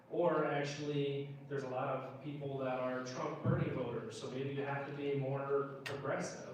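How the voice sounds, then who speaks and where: A man's voice: mid-range voice, a little mellow. A man answering unscripted as a political candidate at a town hall. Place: indoor town hall meeting room. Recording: distant, very echoey room, faint noise.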